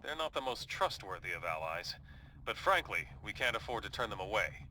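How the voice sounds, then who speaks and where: Grave strategist hero, noisy backdrop. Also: male voice, on the low side, sing-song, a little fast, bright, forceful tone, heavily acted. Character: grave male superhero strategist delivering a mission briefing. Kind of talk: scripted video game mission briefing.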